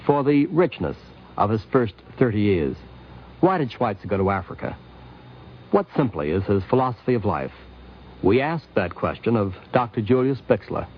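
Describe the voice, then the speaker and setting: A man narrating a scripted 1950s radio programme as host, with formal, rhetorical and measured phrasing. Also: mid-range voice. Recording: dull vintage 1950s radio recording.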